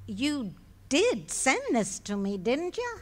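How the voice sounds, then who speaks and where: A cheerful wife who teases her husband with a knowing, bouncing voice that rises and falls freely, as an actress plays her. She talks at an unhurried pace and slightly high pitch in a live stage radio play. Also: huge pitch swings, heavily acted. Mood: teasing. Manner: teasing, with frequent laughter. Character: cheerful teasing wife, good-natured and knowing.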